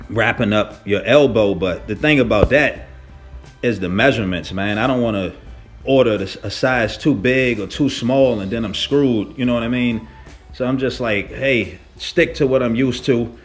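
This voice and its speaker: A man telling a story casually as a lifting vlogger, with an animated, slangy and emphatic way of talking. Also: fairly low pitch. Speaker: fitness vlogger, powerlifter.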